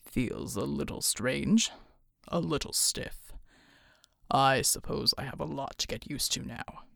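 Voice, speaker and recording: A woman voicing a calm, injured grown man who speaks slowly in a low, quiet, half-whispered murmur. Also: vocal fry, no room sound, studio quality, exaggerated voice. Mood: weary calm.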